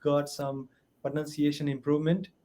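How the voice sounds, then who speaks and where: Earnest Indian-accented man, slightly high. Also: level tone, warm tone. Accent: Indian English. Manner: earnest, careful, with many "uh" pauses. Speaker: student, software entrepreneur. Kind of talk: English conversation practice. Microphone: video call.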